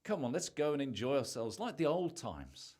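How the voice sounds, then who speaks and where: A man's voice: mid-range voice, sing-song, normal speed, a little mellow. A man in an amateur radio play acting a defensive, sarcastic husband who is hiding something. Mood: placating. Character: evasive, irritable husband hiding a secret.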